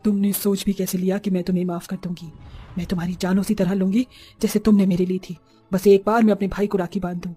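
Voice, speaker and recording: A vengeful female ghost from a horror cartoon, acted by a woman. Her voice is mellow and mid-pitched, with a taunting menace, over faint music. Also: flat delivery, quick pace, slightly rough, natural voice. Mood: vengeful, menacing. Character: vengeful female witch, ghost of a wronged young seamstress. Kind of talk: animated horror story dialogue.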